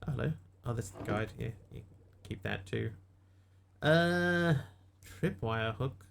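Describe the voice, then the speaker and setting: A man's voice: medium pitch, expressive, slow pace, vocal fry, slight background noise. A man with a New Zealand accent chatting casually to viewers while he plays a game live, with dry, self-mocking asides. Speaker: streamer. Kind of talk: casual live-stream commentary while gaming.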